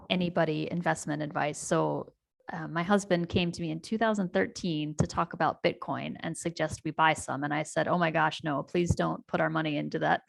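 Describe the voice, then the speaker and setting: A woman's voice: on the low side, creaky. A middle-aged American woman telling her story and presenting a project on a video call, with many ums and restarts. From United States. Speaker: community presenter. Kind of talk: online town hall presentation.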